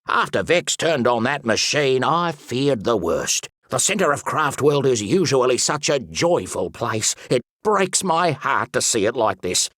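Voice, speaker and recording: Fussy pompous explorer professor type for a cartoony game, super animated up and down voice, theatrical, dry studio sound. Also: male voice, medium pitch, measured pace, few pauses, no echo. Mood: worried, earnest. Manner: theatrical, pompous, with whimsical made-up words. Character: fussy, excitable, pompous male explorer and wildlife expert. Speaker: voice actor. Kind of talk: animated video game character voice lines.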